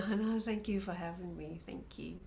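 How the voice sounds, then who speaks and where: Slow, halting woman with breathy voice. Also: medium pitch, steady tone, darkish tone. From Thailand and New Zealand. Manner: halting, reflective, phrases broken by pauses. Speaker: interview guest, spiritual practitioner. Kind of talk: personal life story in conversation. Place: online video call. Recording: video call with faint background noise.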